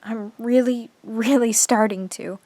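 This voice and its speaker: A woman playing a shaken, fearful teenage girl in an audio drama, speaking haltingly. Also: a little high, fairly even intonation, dark tone, no echo. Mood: haunted, fearful. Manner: halting, haunted.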